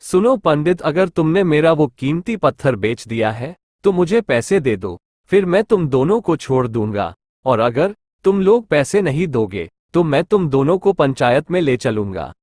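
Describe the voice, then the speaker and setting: Cunning cartoon merchant male actor, sly and greedy, lively melodic delivery, close dry sound. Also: on the high side, moderate pace, no room sound. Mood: accusing. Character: greedy, cunning village merchant swindler, a man. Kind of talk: Hindi cartoon story dialogue.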